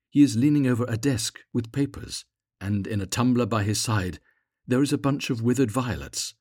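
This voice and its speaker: A man voicing a sorrowful, compassionate statue prince in a storybook, gently commanding and solemnly pleading. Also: fairly low pitch, husky, no echo, clean studio sound.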